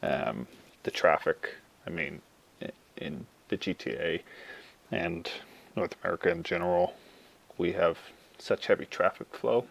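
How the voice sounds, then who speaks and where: A young man with a Canadian accent giving an informal class presentation, speaking in halting, thoughtful phrases. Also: low-pitched, vocal fry. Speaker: university student.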